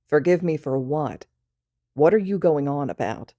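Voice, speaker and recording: A woman voicing a tired, grumpy adult male teacher who talks flat and stern in a storybook reading. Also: sits low, unhurried, dry recording, studio quality. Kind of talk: fan fiction read aloud.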